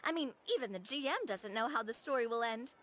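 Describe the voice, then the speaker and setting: A woman's voice: a little high, quick pace, a bit bright, natural voice. A woman doing a bubbly, playful young woman. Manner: bubbly, laughs often. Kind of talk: video game dialogue.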